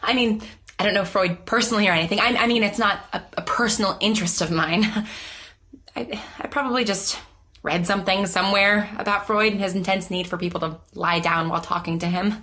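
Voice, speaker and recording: A woman's voice: medium pitch, steady tone, brisk, sharp tone, natural voice. Actress as anxious young female patient, audio drama: awkward, self-conscious, stumbling over sentences, mid-range natural voice. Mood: flustered. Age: young adult. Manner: hesitant, stammering, self-conscious rambling. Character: nervous, rambling young woman with secret ability. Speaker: therapy patient character.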